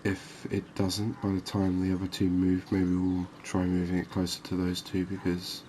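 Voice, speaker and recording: Man, deep voice, monotone, calm ASMR gameplay host, unhurried, faint background ambience. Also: slight background noise. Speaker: gaming video host. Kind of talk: ASMR gameplay commentary on a fishing game.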